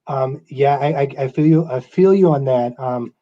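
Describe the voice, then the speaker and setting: A man from Guatemala whose first language is Spanish, hosting a casual interview with a relaxed, stammering delivery. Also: mid-range voice, normal speed, dull audio. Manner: relaxed, hesitant with stammered restarts. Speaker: radio host.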